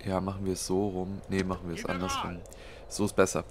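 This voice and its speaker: A man's voice: low-pitched, completely flat delivery, fast talker. A man with a cold, casually thinking aloud while playing a strategy game, with lots of fillers. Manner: thinks aloud, many fillers, plans moves step by step. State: has a cold. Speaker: let's play host. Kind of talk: casual gameplay commentary.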